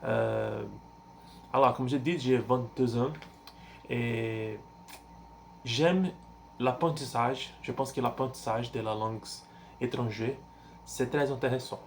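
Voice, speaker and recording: A young man from Brazil speaks French with a Brazilian Portuguese accent at a slow, unhurried pace. His voice carries a gravelly edge, and he keeps stopping to hunt for words and restart his sentences. A faint hiss of noise sits under the recording. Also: mid-range voice, steady tone. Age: 20s. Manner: halting, searches for words with many fillers and restarts. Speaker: language learner vlogger. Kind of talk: casual self-introduction.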